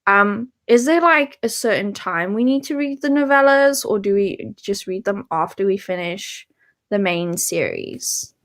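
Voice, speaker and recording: A woman talks casually as co-host of a readalong show. Her pitch sits in the middle of the female range, and she speaks informally, often thinking aloud as she feels her way through a point. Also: animated, unhurried, fairly bright tone. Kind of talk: casual book chat.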